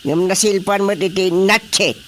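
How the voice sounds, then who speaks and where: A man playing an excitable, exclamatory village chairman with an Ilocano accent in a radio comedy drama. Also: high-pitched, normal speed, faint music behind, natural voice. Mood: chatty, amused.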